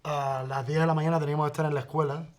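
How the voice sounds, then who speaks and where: A man in his thirties from Havana, Cuba, with a Cuban accent, a podcast guest speaking in an animated, blunt way. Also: on the high side, very fast. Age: 30s.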